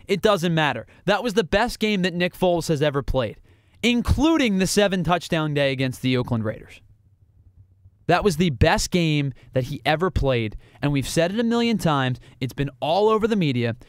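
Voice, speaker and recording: Emphatic high-voiced sports podcast host. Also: male voice, no echo, clean studio sound. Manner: emphatic, building, rhetorical questions. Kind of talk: solo sports analysis monologue.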